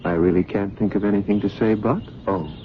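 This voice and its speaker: An actor playing an aloof, evasive love interest in a radio drama, measured and gently patronising. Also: male voice, on the low side, steady tone, close to an everyday voice. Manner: detached, measured. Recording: muffled noisy old radio recording.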